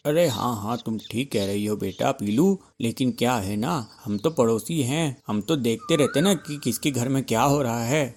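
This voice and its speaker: A man voicing chatty male bird townsfolk in a cartoon, expressive and colloquial. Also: mid-range voice, normal speed, hardly any pauses, slight background noise, natural voice. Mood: chatty, explaining.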